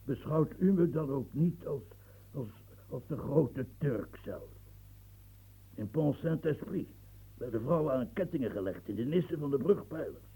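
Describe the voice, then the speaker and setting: An actor playing an aging fortress-building marshal in a radio play, declaiming grandly and stammering when moved. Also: male voice, medium pitch, expressive, measured pace, rough recording. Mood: brooding, declaiming. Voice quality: gravelly, dark and husky. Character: aging royal fortress engineer, proud, brooding. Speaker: military engineer marshal. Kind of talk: radio drama acting.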